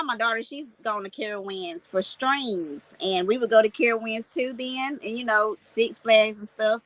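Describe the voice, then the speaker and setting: Squeaky-voiced chatty woman from North Carolina. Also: fairly bright tone, no echo, low-quality recording. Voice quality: self-described squeaky voice. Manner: chatty, laughs often, lots of fillers. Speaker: guest artist. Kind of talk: casual interview talk.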